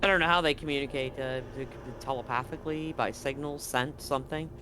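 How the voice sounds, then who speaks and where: A man narrating as game master in a tabletop game, relaxed and full of fillers. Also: mid-range voice, fast talker, background noise.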